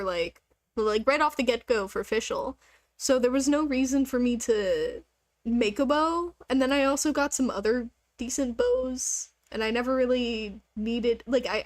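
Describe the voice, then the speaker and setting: Girl streamer chatting while gaming, kinda high voice, rambly and sweary, dry close mic. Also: dry recording. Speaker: livestreamer. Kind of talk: casual gaming livestream chat.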